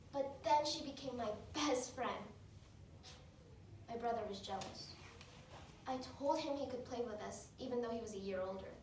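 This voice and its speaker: Woman acting a high-voiced, guilt-ridden grieving young woman. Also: natural voice. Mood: wistful, remembering. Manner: dramatic, emotional, deliberate monologue delivery with big swells. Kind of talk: competition dramatic interpretation monologue. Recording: echoey room, far mic, faint noise.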